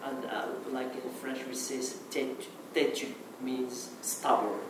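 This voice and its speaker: A man's voice: medium pitch, steady tone, measured pace. Moroccan man in an echoey hall. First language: Arabic. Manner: hesitant, many restarts and "okay" fillers. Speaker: conference speaker. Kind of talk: halting conference talk. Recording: echoey hall, mic far off, noisy.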